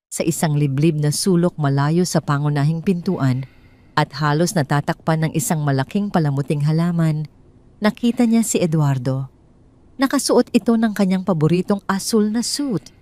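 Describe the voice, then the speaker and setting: A woman storyteller with a Filipino accent and a low voice. She narrates a scripted drama phrase by phrase, in a measured, deliberate way. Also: a little mellow.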